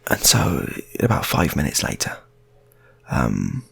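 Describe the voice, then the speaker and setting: A man improvising a story casually, in a halting, rambling way, often repeating his phrases. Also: very deep voice, wildly swooping pitch, vocal fry, husky.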